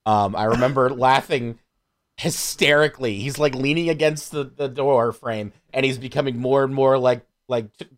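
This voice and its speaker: A man's voice: mid-range voice, moderate pace. A man guesting on a podcast, chatting casually with a wry, sarcastic streak. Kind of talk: casual comedic chat.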